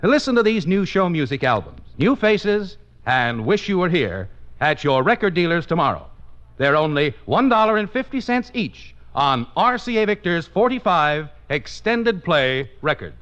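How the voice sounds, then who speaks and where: A male announcer reads scripted copy in old-time radio style. His voice is high, bright and highly animated, swinging widely in pitch. It comes through the dull band of a 1950s broadcast. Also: moderate pace. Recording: muffled vintage radio broadcast.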